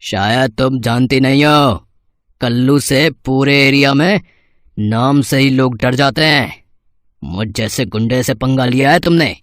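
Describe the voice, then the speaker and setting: A man's voice: mid-range voice, unhurried, fairly bright tone, no echo, clean studio sound, natural voice. A man playing a threatening, bossy kidnapper thug in an acted moral story cartoon. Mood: menacing. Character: menacing male thug and child kidnapper, demanding money.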